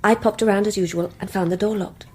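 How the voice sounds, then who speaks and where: An actress playing an anxious, guilt-ridden younger British woman in a radio play, confiding in a hushed, hesitant, breathy voice. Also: medium pitch, steady tone, fast talker, close to an everyday voice. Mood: confessional. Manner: tense, hesitant, confessional. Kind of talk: radio play acting with intimate first-person narration.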